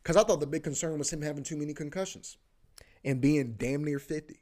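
A man's voice: on the high side, brisk, warm tone. A man hosting a podcast, rambling casually about sports, repeating himself, swearing and saying "bro" a lot. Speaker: podcast host.

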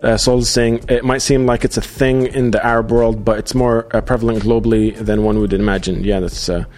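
A man hosting a live podcast, reading out viewer comments in a casual, halting way with many fillers. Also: medium pitch, steady tone, a little mellow, faint music behind. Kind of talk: casual livestream chat.

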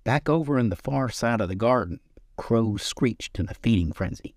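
A man's voice: medium pitch, animated, normal speed, no room sound, studio quality. A man reading his own literary short story as its narrator.

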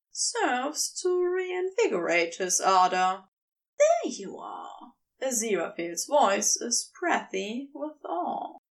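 A woman narrates fiction in an expressive, unhurried audiobook style. Her voice is fairly high and very melodic. She sounds a little distant from the microphone.

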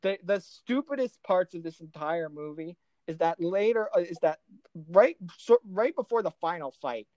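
A podcast co-host talking casually about films in an animated, exasperated way, with some mild swearing. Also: medium pitch, normal speed, no echo. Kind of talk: casual film discussion.

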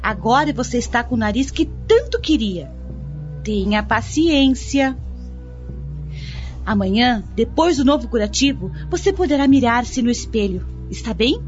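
A woman playing a patient nurse who soothes and gently scolds in a radio soap. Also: fairly high pitch, a little slow, faint music behind. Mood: soothing. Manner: calming, instructive. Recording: dull lo-fi audio.

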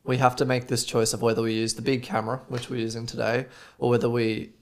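A man's voice: medium pitch, little pitch movement, quick pace. An Australian man co-hosting a podcast, rambling through stories in casual chat with lots of "like" fillers. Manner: rambling storyteller, frequent "like" fillers. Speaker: podcast co-host.

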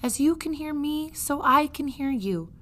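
Suave male storybook rat, played by a woman reader: courtly, scheming, flattering, with a very animated pitch. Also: unhurried. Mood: coaxing, persuasive. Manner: formal, flowery, polite phrasing.

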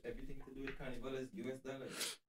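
A man's voice: mid-range voice, little pitch movement, a bit bright. A middle-aged man, the guest's father, joining the chat in a plain-spoken way. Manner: quick, plain-spoken, stumbles on words. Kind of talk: casual chat.

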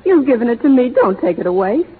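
A husky, imploring young sweetheart. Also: female voice, fairly high pitch, big pitch swings, fast talker. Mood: pleading. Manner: breathless, imploring, melodramatic. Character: pleading, anxious young sweetheart of a cowboy. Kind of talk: 1930s radio drama acting. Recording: tinny, reverberant 1930s radio broadcast.

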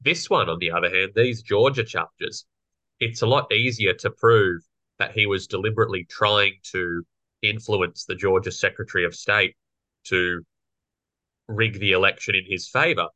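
A young man with a slightly croaky voice, co-hosting a casual political podcast and explaining legal points. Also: deep voice, normal speed, bright, forceful tone, no echo. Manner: explanatory, lawyerly. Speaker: podcast co-host.

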